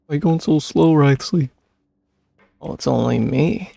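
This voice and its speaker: A man's voice: on the high side, moderate pace, gravelly, warm tone, dry recording. A young man casually commenting while he plays a game, with offhand, musing remarks. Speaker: gameplay streamer.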